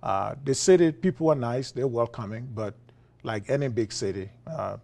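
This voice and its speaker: A man with a Liberian accent, a philosophy professor being interviewed, talking in a relaxed way with frequent fillers and chuckles. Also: mid-range voice, normal speed, vocal fry. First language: Liberian English. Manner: chuckling, many uh fillers and restarts. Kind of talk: conversational interview.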